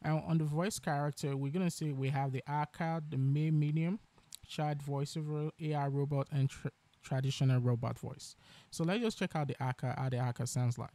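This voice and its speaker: A man hosting a software tutorial, explaining each step as he narrates. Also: on the high side, fairly even intonation, normal speed. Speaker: tutorial host.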